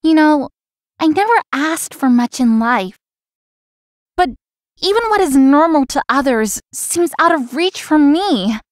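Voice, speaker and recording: A woman's voice: high voice, animated, measured pace, no echo, clean studio sound. A woman voicing a young, friendly but world-weary florist in an anime-style romance game. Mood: bitter, complaining. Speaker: voice actor in a visual novel. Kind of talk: scripted anime-style game dialogue and inner monologue.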